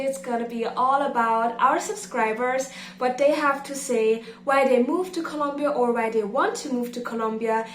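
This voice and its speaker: A woman with a fairly high voice hosts a video in a casual, explanatory way. She sits back from the mic, and the room rings around her, with a faint hiss underneath. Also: reverberant, recorded from a distance. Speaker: channel host.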